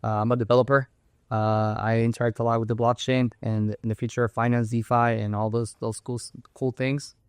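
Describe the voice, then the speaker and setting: A young man from Cuba speaking as an interview guest, halting and restarting his phrases as he goes. His voice is smooth and resonant, at an ordinary male pitch. The sound is close and dry, with no room around it. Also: level tone, darkish tone, no echo. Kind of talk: casual interview talk.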